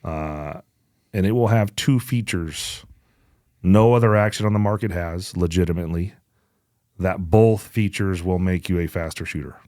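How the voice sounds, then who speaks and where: Man, deep voice, podcast host, casual gear talk, blunt, profane, joking, dry close sound. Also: moderate pace, a little mellow, no echo. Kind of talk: casual chat about hunting gear.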